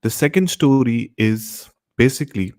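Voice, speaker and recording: A man's voice: mid-range voice, normal speed, a little mellow. A man from Mumbai with an Indian accent, presenting a show to listeners as its host.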